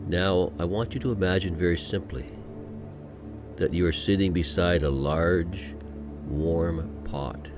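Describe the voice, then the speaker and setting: A man with a low-pitched voice leads a guided meditation. He speaks slowly and gently, leaving frequent pauses between scripted phrases. Soft music plays behind him. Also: steady tone.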